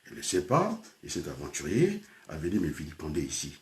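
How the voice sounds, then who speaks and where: A man's voice: very deep voice, huge pitch swings, fairly quick, a little hiss or hum. An older man from Congo, a teacher and trainer, speaking in a rambling personal talk full of hesitations and switches into Lingala.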